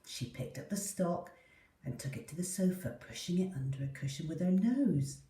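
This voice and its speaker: A woman tells a children's story with very animated pitch and careful, expressive phrasing. Her tone is soft-edged and mellow. The recording is roomy and slightly distant. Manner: deliberate, animated delivery for young listeners. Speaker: storyteller reading a children's book. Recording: roomy echo, distant mic.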